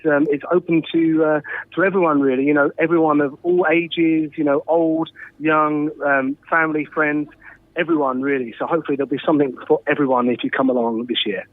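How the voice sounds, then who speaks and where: Bloke, slightly high voice, stumbles and repeats words, chatting in an interview, dry phone-like sound. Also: male voice. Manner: hesitant, repetitive, halting. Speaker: pantomime interviewee. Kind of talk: casual radio interview. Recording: tinny, narrow phone-like sound.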